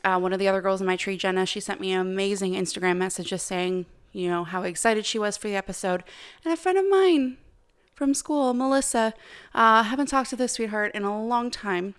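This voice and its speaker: Young woman podcaster, smooth soothing voice, mid pitch, chatty and warm. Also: a little fast. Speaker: podcast co-host. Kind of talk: casual podcast chat.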